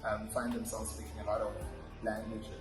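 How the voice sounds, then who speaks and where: A man's voice: on the low side, completely flat delivery, fairly quick, roomy sound, music in the background, poor audio. A young man from South Africa, telling his life story in a reflective, casual interview with many fillers and restarts. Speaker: podcast guest.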